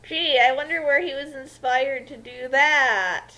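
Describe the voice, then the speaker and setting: A woman's voice: high voice, unhurried, bright, forceful tone. A woman co-hosting a casual comic commentary in a sarcastic way. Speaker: co-host giving commentary.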